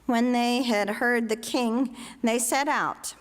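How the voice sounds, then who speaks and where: A woman with a slightly high voice reads scripture aloud in a large church. Her delivery is slow and solemn, with deliberate phrasing, and the long reverb of the hall surrounds each phrase. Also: fairly even intonation. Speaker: scripture reader at a church service. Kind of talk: solemn scripture reading to a congregation. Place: inside a church sanctuary.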